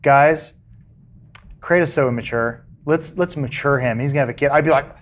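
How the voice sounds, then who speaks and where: A man's voice: medium pitch, brisk, a little hiss or hum. A man chatting on a podcast, rambling with frequent restarts and jokes. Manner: rambling, restarts a lot, jokey. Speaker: podcast regular. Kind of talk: casual chat.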